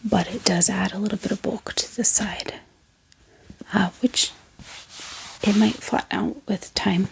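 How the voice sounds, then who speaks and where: A woman speaking in a breathy whisper, gently and unhurriedly, in a casual ASMR show-and-tell style. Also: mid-range voice, low-quality recording. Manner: gentle, unhurried, hesitant pauses. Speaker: ASMR book haul vlogger. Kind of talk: soft-spoken whispered ASMR, casual and unscripted.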